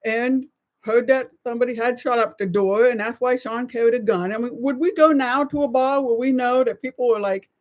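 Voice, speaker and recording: A woman's voice: on the high side, fairly quick. American woman in her sixties, chatting. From United States. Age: 60s. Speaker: co-host of a live chat show. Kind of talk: casual reflective chat.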